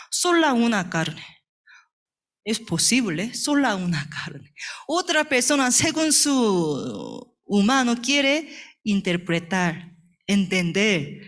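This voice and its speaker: Slow-paced Korean-accented woman teaching. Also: medium pitch. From South Korea. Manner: halting, simple broken phrasing with rhetorical check questions. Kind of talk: Bible teaching to a congregation.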